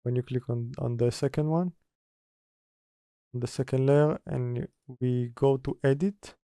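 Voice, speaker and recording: A man presenting an informal software tutorial, halting as he reads steps from notes and mutters through them. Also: medium pitch, steady tone, clean tone, dry recording, clean studio sound. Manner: halting, mutters step by step while working. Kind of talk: informal screen-recorded software tutorial, partly read from notes.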